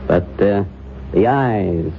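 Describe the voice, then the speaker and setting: An actor in an old-time radio drama playing a self-satisfied disguise expert, musing and giving directions. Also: male voice, on the low side, expressive, slow, creaky, exaggerated voice. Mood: musing, pleased. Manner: musing, directive, pleased with himself. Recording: dull, noisy old radio recording.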